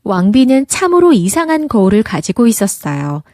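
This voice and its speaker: A woman's voice: medium pitch, big pitch swings, moderate pace, a little mellow, dry recording, studio quality. A woman narrating a children's fairy tale, reading aloud expressively as a storybook narrator.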